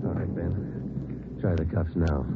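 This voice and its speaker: A man with an American accent acting a terse, deadpan police detective in a 1940s radio drama, on a muffled old recording. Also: deep voice, unhurried, heavy background noise. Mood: dry. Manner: clipped, matter-of-fact, deadpan. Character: terse, businesslike male police detective sergeant, narrating his case. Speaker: lead actor and narrator.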